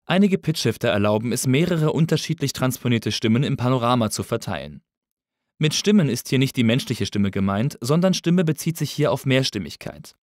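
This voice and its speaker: Lively, dry-sounding male tutorial narrator. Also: medium pitch, a little fast, no echo. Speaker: instructor.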